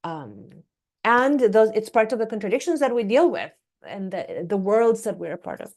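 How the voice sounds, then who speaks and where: A woman with a Costa Rican Spanish accent, explaining her points in a measured, reflective way as an academic panelist. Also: mid-range voice, big pitch swings, darkish tone. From Costa Rica.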